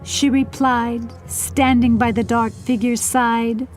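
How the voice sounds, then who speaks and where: A woman with a fairly high voice narrates a storybook tale. She reads at a slow, deliberate pace, with music playing behind her. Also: flat delivery. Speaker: audiobook narrator.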